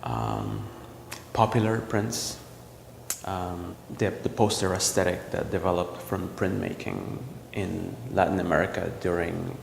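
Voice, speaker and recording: A man from El Salvador, a visual artist, talking about his work in a gallery in a reflective, halting way with many ums and restarts. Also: sits low, expressive, gravelly, a little hiss or hum. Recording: roomy gallery echo with long reverb.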